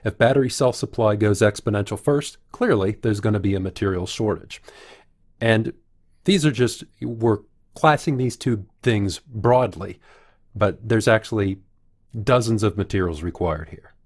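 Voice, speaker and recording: A man delivering analytical commentary in a measured, explanatory way, as a video commentator would. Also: lowish voice. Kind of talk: analytical commentary, reading out quotes.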